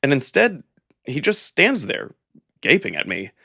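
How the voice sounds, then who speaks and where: Man, visual novel narrator, expressive acted delivery, animated intonation, dry close sound. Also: fairly high pitch, a little slow, gravelly, breathy edge, dry recording. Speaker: narrator and voice performer. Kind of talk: dramatic first-person scripted narration of a visual novel.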